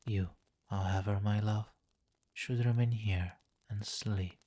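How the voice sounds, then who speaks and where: A domineering vampire king, acted by a man for an ASMR roleplay, speaks very slowly in a low voice. He is seductive and condescending, giving soft commands in a hypnotic, possessive murmur. The delivery stays measured and close to a whisper, with little rise or fall. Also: fairly even intonation, no echo. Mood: possessive, coaxing. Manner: slow, coaxing, possessive, softly commanding, often near whisper.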